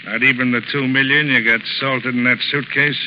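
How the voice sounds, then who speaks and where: An actor playing a cynical, crooked pilot in 1930s radio drama style. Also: male voice, mid-range voice, fairly even intonation, brisk, close to an everyday voice. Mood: sneering. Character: cynical crooked pilot demanding his cut. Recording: dull, noisy 1930s radio recording.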